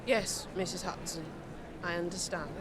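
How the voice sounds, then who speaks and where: A woman's voice: fairly low pitch, fairly even intonation, moderate pace, over a noisy crowd, natural voice. A woman playing a fiery, loyal street girl in an audio drama, defensive and intense. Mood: obedient. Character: fiery, loyal street girl determined to free her mother. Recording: noisy outdoor street ambience.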